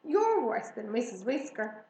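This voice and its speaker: Lady doing an eager curious kid girl for a storybook, high voice, bit echoey. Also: sing-song, unhurried, mellow.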